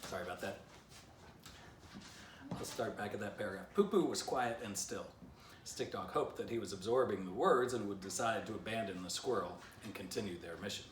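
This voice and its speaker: Low-voiced man reading a storybook, roomy echo. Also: fairly quick. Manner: animated, showing illustrations between passages. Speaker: children's author reading their book aloud. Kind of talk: expressive storybook read-aloud with casual asides. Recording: roomy echo, distant mic, faint noise.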